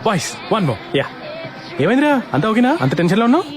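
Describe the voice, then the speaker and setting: A man's voice: high voice, big pitch swings, fast, music in the background. A man playing a joking, boisterous young buddy in a film comedy.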